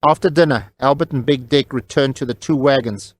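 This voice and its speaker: A man's voice: medium pitch, brisk, no room sound. A man reading scripted story narration as an audiobook narrator, close on the mic.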